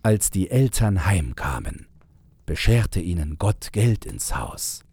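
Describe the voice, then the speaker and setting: Very deep, husky male storyteller. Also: big pitch swings, warm tone, no echo. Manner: measured, unhurried storytelling. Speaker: storyteller, narrator. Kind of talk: scripted fairy-tale narration.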